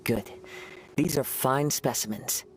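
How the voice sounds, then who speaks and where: An actor playing a gentle, contemplative young wandering samurai in a video game, speaking in a measured, formal, courteous way. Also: male voice, medium pitch, lightly acted, poor audio. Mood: quietly pleased. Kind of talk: video game cutscene voice acting.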